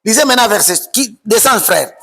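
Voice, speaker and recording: A man preaching a sermon as pastor, shouting and sing-song, repeating phrases over and over. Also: high voice, lively intonation, brisk, creaky, slightly rough. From Democratic Republic of the Congo.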